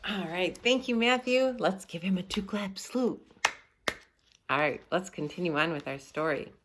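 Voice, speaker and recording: A woman's voice: fairly low pitch, normal speed, slight background noise. A woman reading a children's story aloud expressively, like a teacher leading a read-aloud.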